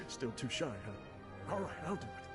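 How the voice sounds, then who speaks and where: A man voicing a gentle, playful father in a video game, theatrically play-acting a fairy tale and encouraging his child. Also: mid-range voice, big pitch swings, unhurried. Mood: gentle, coaxing. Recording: loud music over the voice.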